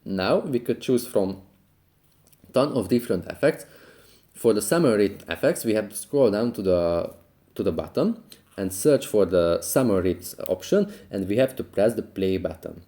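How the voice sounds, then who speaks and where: A man's voice: lowish voice, lively intonation, moderate pace, dark tone. A man hosting a step-by-step app tutorial, explaining each action in a plain, instructive way. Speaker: tutorial host.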